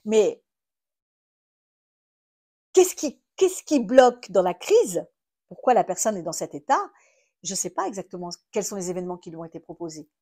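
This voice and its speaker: A woman's voice: mid-range voice, sing-song, normal speed, pauses often, dark tone. A French woman explaining her therapy approach in a teaching talk, full of fillers and restarts, often asking herself rhetorical questions. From France. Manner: thinks aloud with many fillers and restarts, asks rhetorical questions. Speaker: astrotherapist and trainer.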